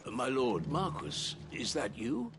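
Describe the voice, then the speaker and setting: A courtly, grave steward. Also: male voice, mid-range voice, huge pitch swings, takes it slowly, a bit bright, heavily acted. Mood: anxious. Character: formal, deferential male chief steward. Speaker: game character. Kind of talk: video game voice acting. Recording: game audio with clear music under.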